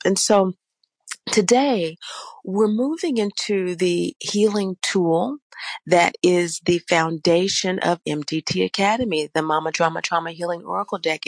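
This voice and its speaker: A woman with a slightly raspy voice giving an unscripted spiritual talk as a course host, meandering with fillers and self-corrections. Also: deep voice, no echo. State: slightly raspy voice from a weather change. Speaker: oracle deck teacher and course host.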